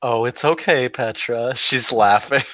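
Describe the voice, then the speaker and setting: A man in a tabletop roleplaying game, describing what his character does in a relaxed way. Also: medium pitch, unhurried, dry recording. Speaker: player.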